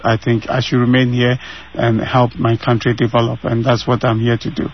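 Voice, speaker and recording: A man's voice: mid-range voice, monotone, normal speed, highs cut off. A man in his thirties from Ghana with a Ghanaian accent, answering interview questions earnestly and repeating phrases for emphasis, heard over a remote line from his home. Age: 30s.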